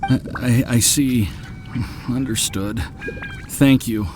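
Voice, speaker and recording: A man's voice: mid-range voice, steady tone, slow pace, heavy background noise, lightly acted. An actor playing a shy, nervous, lovesick young man in a video game, hesitant and stammering. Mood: flustered, grateful. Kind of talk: video game character acting. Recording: music playing behind the voice.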